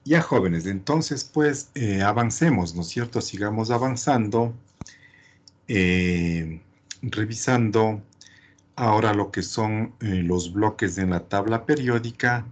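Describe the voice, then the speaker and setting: Male teacher. Unhurried, husky delivery, didactic, checks understanding with short tag questions. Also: lowish voice. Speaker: chemistry teacher.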